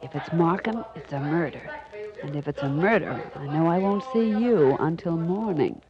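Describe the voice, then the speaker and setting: A woman's voice: deep voice, expressive, moderate pace. An actress playing a detective's wry, bored female companion in dry, sardonic 1940s radio style. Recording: muffled old radio with crowd murmur.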